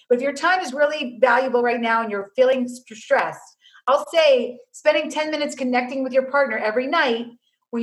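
A woman who works as a family mediator, talking earnestly as a guest, laying out numbered steps and telling anecdotes. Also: rough recording. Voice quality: low-voiced by her own account. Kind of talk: conversational guest explaining advice.

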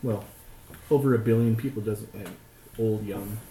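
A man's voice: on the low side, fairly even intonation, moderate pace, dark tone. A middle-aged American man from rural Utah, chatting casually and choosing his words hesitantly. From rural Utah, United States. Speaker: podcast participant. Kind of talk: casual chat. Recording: roomy echo, clear background noise.

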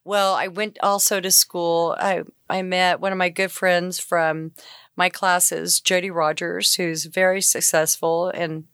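An older woman with a raspy voice, a talkative interview guest telling stories in a rambling, casual way with fillers. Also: lowish voice, flat delivery, unhurried, a bit bright, no echo, studio quality. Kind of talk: casual conversational storytelling.

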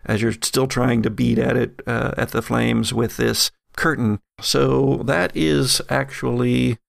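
A man's voice: medium pitch, steady tone, takes it slowly, creaky, no echo, studio quality. A man acting as game master, improvising narration for a tabletop horror game.

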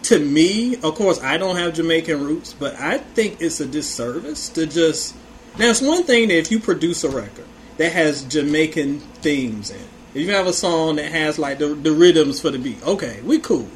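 A man's voice: a little high, expressive, moderate pace, a little hiss or hum. An American man co-hosting a podcast in casual banter, with an opinionated, exasperated, slangy way of talking. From Hampton Roads, Virginia, United States. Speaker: podcast co-host and music reviewer.

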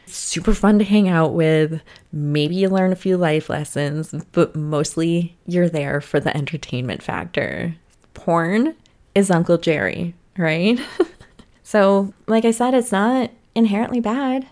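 Frank laughing woman, slightly low voice. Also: a little slow, a little mellow. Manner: frank, profane, laughs often. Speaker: sex and relationships vlogger. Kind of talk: candid casual monologue to camera.

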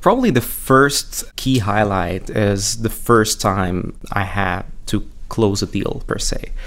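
Young Moroccan-born man, hesitant, low voice. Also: lively intonation, fairly bright tone. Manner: measured, with frequent hesitations and fillers. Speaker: MBA student, guest. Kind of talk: casual interview answers.

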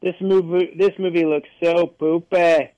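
A man's voice: on the high side, level tone, unhurried, dark tone, smooth, no echo, rough recording. A man on a podcast panel, reading trailer summaries and mocking them with jokes. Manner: sarcastic and jokey.

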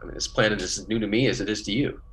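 A man's voice: fairly low pitch, rapid-fire, fairly bright tone, a little hiss or hum, close to an everyday voice. A man playing an earnest, defensive biologist on a colony planet in a classic radio play, emphatic and easily flustered. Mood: reasoning.

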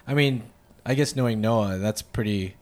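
A man's voice: lowish voice, normal speed, over a noisy crowd. A man hosting a casual conversation in everyday, informal speech.

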